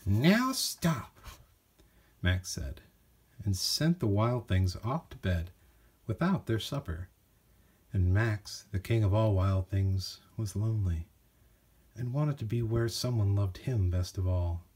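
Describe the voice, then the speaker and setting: Husky-voiced man reading a children's storybook. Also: lowish voice, a little slow, mellow. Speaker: storybook reader. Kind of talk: scripted storybook narration for children.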